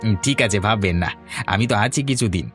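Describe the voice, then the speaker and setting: A man's voice: fairly low pitch, quick pace, music in the background, lightly acted. A young man voicing a confident nephew who supervises a water plant. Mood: reassuring. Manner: reassuring, explaining.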